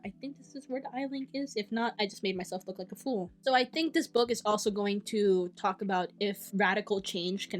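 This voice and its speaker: A young woman talks straight to camera about the books she plans to read. Her voice sits in a middle pitch, and her delivery is chatty and enthusiastic. She keeps breaking off into quick asides and correcting herself. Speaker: book vlogger. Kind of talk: casual chat to camera.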